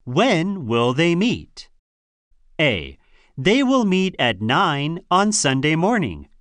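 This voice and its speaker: Woman, lower voice, very wide pitch swings, slow careful reading, dry studio sound. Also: wildly swooping pitch, no echo. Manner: slow, careful, clearly enunciated. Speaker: listening test voice actor. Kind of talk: scripted listening exercise reading.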